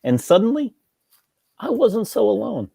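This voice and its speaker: A woman's voice: on the high side, very animated, a little slow, vocal fry, warm tone, slightly rough, no room sound, clean studio sound, heavily acted. A woman reading the part of a jealous, lovesick, talkative male barber in a stage comedy table read. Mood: pleading, lovelorn.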